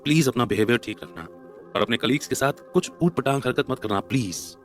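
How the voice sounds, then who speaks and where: A man's voice: mid-range voice, quick pace, faint music behind, natural voice. A man voicing a stern, worried young wife in an audio story, speaking in an earnest, cautioning manner. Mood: serious pleading.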